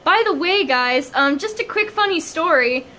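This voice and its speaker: Young woman vlogger, high-pitched and excitable, recorded on a handheld phone. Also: moderate pace, a little hiss or hum. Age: young adult. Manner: bubbly, giggly, excitable. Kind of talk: casual vlog chat. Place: car, then small hotel room. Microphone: phone held at arm's length.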